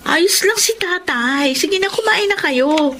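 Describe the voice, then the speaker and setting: A woman's voice: very high voice, animated, slow, sharp tone, slight background noise, full character voice. An actress playing a dutiful young daughter who reassures her mother in a radio drama. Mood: caring.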